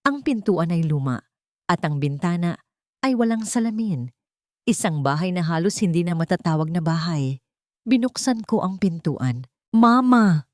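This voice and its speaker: A woman narrates a scripted love drama in the first person. Her voice sits a little low, in a close, dry recording with dramatic storytelling delivery. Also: lively intonation, moderate pace, darkish tone, no room sound.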